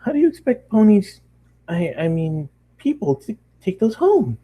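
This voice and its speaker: A man's voice: sits high, very animated, normal speed, mellow, pitched above the performer's own voice. A man voicing a curious, bouncy young female cartoon pony in a fan fiction reading, full of eager questions. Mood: puzzled, curious. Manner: eager, inquisitive, exclaiming questions.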